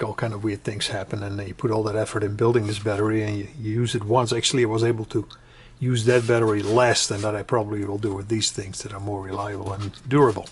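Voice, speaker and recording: Man explaining casually over clear background noise. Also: mid-range voice. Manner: hesitant, conversational, pausing mid-sentence. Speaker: hobbyist demonstrating a build. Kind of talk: casual unscripted tutorial talk. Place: indoors at home.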